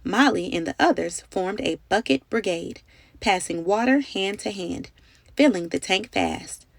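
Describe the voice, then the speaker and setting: A woman narrates a children's storybook with expressive, emphatic phrasing. Her voice sits at a medium pitch with some gravelly fry, recorded close and dry. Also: a little slow, dry recording. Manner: dramatic, carefully phrased storytelling with emphatic delivery. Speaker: storybook reader.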